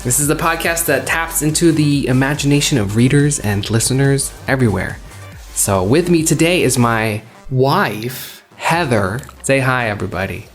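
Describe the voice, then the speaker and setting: A man's voice: medium pitch, animated, normal speed. Male host, mid-range voice, informal podcast intro chat over music.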